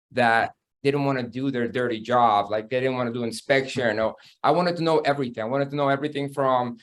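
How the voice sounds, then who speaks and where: A man from Peru, an estate agent on a webinar panel, talking in upbeat bursts and laughing easily. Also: medium pitch. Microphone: video call.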